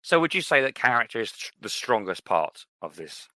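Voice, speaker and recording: A man's voice: medium pitch, very animated, normal speed, pushed and bright, no room sound. A man co-hosting a podcast, chatting casually about films and TV. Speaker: podcast co-host.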